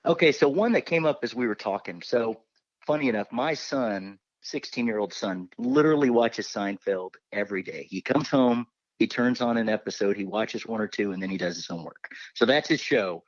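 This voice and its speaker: A man's voice: fairly low pitch, normal speed, dry recording, highs cut off. A middle-aged man asking casual, roundabout interview questions as a co-host.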